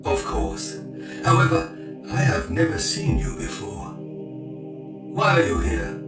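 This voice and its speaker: A man playing a haughty, elderly machine-priest lord in an audio drama, speaking pompously in a breathy, unvoiced rasp. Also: on the high side, sing-song. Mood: grave, guarded. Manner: pompous, condescending, deliberate. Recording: echoing voice under loud music.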